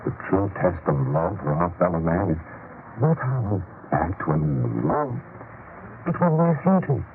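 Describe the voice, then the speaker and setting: An actor playing a remorseful, fervent disciple in a theatrical, deliberate 1940s radio drama style. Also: male voice, low voice, sing-song, heavily acted. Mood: fervent realization. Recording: muffled, noisy 1940s radio recording.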